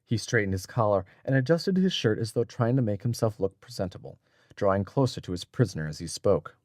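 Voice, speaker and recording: A man narrating a dramatic story in a measured, deliberate, scripted audiobook style. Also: lowish voice, expressive, a little mellow, dry recording, studio quality.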